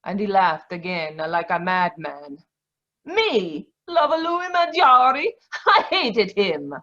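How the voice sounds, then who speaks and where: A scornful, theatrical woman witness as acted by a woman in an old radio detective play. She talks haltingly, at a middling pitch. The audio is dull, like a video call. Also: big pitch swings, bright, forceful tone, exaggerated voice. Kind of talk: 1940s radio drama acting.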